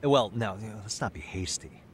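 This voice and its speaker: A man's voice: fairly low pitch, brisk, slight background noise, lightly acted. An actor playing an easygoing adult male private detective in a video game dub, casual and dryly understated. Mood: wry. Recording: music under the dialogue. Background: music.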